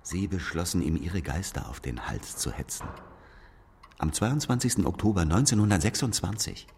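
Deep-voiced, relentless male interrogator. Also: expressive, normal speed, vocal fry, darkish tone, breathy edge, full character voice. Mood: grave, accusing. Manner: accusing, sardonic, insistent. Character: hard, relentless male interrogator confronting an aged knighted author. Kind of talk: German radio drama acting. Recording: long reverb with music behind.

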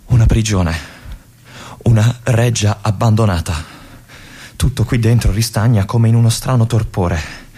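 An actor playing a restless young army lieutenant in a radio monologue, confiding and brooding in halting asides. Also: male voice, on the low side, dark tone, husky. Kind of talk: theatrical monologue.

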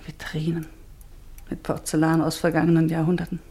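A husky, haunted exile. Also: female voice, low-pitched, completely flat delivery, a little fast, mellow. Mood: wistful. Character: troubled woman Ruth, exiled doctor's wife. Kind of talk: radio play acting. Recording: old mono radio drama.

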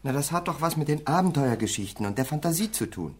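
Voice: mid-range voice, expressive, fast talker, a little mellow, husky. A performer playing an eager young son and pupil in a German radio play, explaining things with some hesitation.

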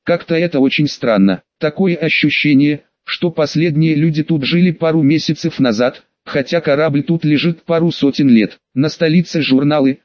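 A man's voice: fairly high pitch, moderate pace, hardly any pauses, dry recording, highs cut off, close to an everyday voice. A man voicing a brash, bossy, sarcastic young male adventurer in audiobook dialogue, with curt, crude commands and wry remarks. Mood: curious, impatient.

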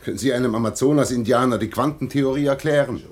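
A man's voice: mid-range voice, fast talker, a crowd in the background, close to an everyday voice. An actor playing a weary, sardonic man who claims to be an alien envoy in a radio play, with a wry, superior manner. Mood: superior, provoking. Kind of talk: German science-fiction radio play acting.

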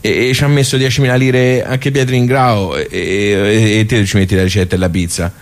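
An actor playing a chatty, nostalgic village social club regular with a Tuscan accent, telling stories in a rambling, folksy radio theatre monologue. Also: male voice, fairly low pitch, fairly even intonation, a little fast, close to an everyday voice. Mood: nostalgic, wry.

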